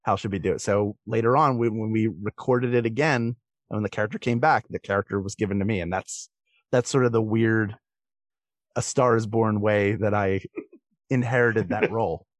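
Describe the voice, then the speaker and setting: A man's voice: on the low side, fairly even intonation, normal speed, no room sound. Man chatting casually, close dry sound. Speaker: voice actor, podcast guest. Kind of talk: casual interview chat, anecdotes.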